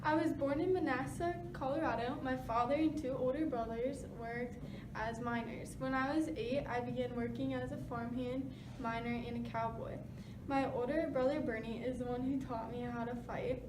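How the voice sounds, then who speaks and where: Casting: woman playing 1920s boxing champ in mock interview, high voice, scripted answers, distant in an echoey room. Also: steady tone, normal speed, background noise, lightly acted. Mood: matter-of-fact.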